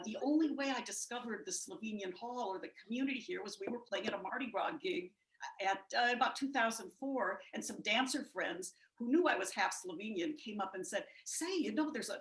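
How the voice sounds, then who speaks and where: A woman's voice: medium pitch, a bit bright, roomy sound, low-quality recording. A woman musician from the United States chatting casually about her background and her band, with frequent fillers, over a video call. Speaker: musician guest. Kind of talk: casual spoken introduction.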